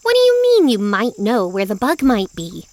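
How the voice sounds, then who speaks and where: A woman playing a spirited, quick-witted young girl with a cheeky, challenging manner in a children's audio drama. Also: a little high, huge pitch swings, darkish tone, dry recording. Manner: challenging, playful.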